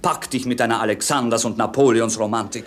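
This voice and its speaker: A man's voice: mid-range voice, steady tone, fast talker, natural voice. A man playing a bored, witty, melancholy young prince in a German radio drama, with an ironic, theatrical way of musing. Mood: disdainful. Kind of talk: German stage-classic radio drama acting.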